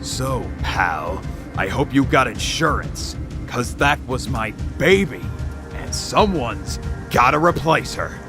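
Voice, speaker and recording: An actor playing a big, grizzled, hot-tempered driver with a confrontational, slangy manner in an audio drama. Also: male voice, mid-range voice, very animated, slow pace, music in the background. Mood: threatening, sarcastic.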